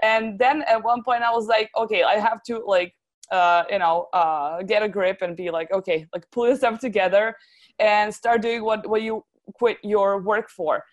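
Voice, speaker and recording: A woman's voice: mid-range voice, normal speed. A woman from Serbia with a Serbian accent, talking casually and chattily as an interview guest. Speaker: interview guest, translation agency founder.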